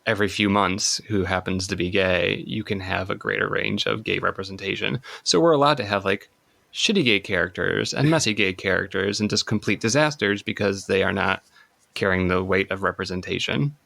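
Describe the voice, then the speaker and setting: A man who grew up in the Chicago suburbs speaks as a guest on a casual podcast. His voice is low and gravelly with plenty of vocal fry, and he thinks his points through in a measured way. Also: pushed and bright. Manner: thoughtful, measured. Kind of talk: casual chat.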